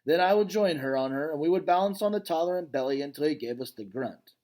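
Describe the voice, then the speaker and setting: A man's voice: fairly high pitch, expressive, brisk, darkish tone, lightly acted. Man as a reflective, weary storybook gorilla. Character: weary, reluctant adult male silverback gorilla, gentle.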